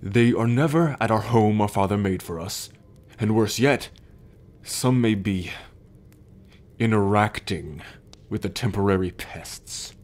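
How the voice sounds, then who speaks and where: Casting: male actor as imperious sun god, arrogant and brooding, low voice, very unhurried scornful delivery, ASMR roleplay. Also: a little mellow, natural voice. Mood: exasperated. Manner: haughty, scornful, formal, sighing. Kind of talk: ASMR roleplay audio drama. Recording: background music under the voice.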